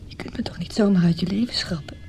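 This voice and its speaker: An actress playing a wounded, bitter mistress in her thirties in a radio drama, speaking in a breathy, halting, often whispered voice. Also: lowish voice, darkish tone. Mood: desperate pleading. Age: 30s. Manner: slow, halting, anguished. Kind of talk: radio drama acting, intimate inner monologue.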